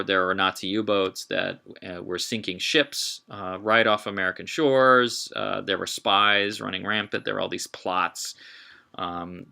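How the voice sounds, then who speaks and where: A man giving an informal history lecture in a halting way, with emphatic, dramatic delivery when quoting. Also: deep voice, vocal fry, sharp tone. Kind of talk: informal illustrated public lecture. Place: talk given to a live group.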